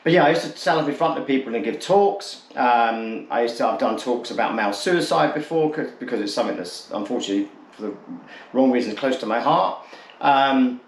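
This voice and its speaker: A man in his late forties speaks casually to his viewers in a medium-pitched voice. He is candid and loose, often circling back to reword what he has said. The recording has a clear room echo. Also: fairly quick. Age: late 40s. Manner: candid, rambling, repeats and rephrases himself. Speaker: vlogger introducing his channel. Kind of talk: casual talk to camera. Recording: echoey untreated room.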